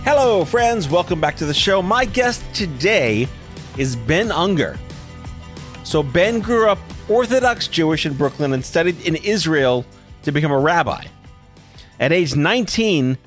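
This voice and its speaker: A man's voice: on the high side, lively intonation, a little slow, a bit bright, soft background music. A middle-aged man hosting a podcast interview, talking in a blunt, wry and casually profane way. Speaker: podcast host.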